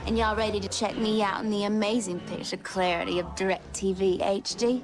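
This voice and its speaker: Actress as a flirty TV ad woman, carefree, medium pitch, music behind. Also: fairly even intonation, normal speed, lightly acted. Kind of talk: television commercial acting.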